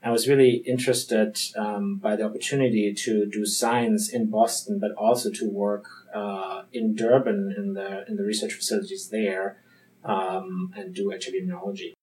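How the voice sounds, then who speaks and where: A man's voice: lowish voice, steady tone, normal speed, a little mellow, rough recording. A man from Germany with a German accent, a physician-researcher answering interview questions in a thoughtful, hesitant way with frequent ums and restarts.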